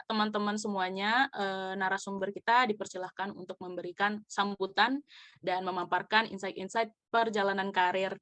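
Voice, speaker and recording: Bright young woman moderating an online event. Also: medium pitch, dry recording. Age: young adult. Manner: polite, structured, with light filler words. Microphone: video call.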